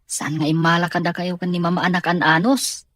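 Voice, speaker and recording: A woman's voice: lowish voice, little pitch movement, a little fast, fairly bright tone, dry recording. A woman playing a dutiful, kind young daughter in an audio drama, earnest and reassuring. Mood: concerned, reassuring. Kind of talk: radio drama acting.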